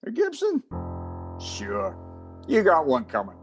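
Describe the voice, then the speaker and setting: A man's voice: high-pitched, huge pitch swings, slow pace, faint music behind, exaggerated voice. A man playing a friendly, slangy police detective with tough-guy banter in a 1940s radio drama style. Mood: amused, impressed. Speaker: community theatre voice actor.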